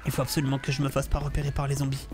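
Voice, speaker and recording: An expressive, excitable man, doing gameplay commentary as host. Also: mid-range voice, rapid-fire, music in the background. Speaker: gaming video host and role-player.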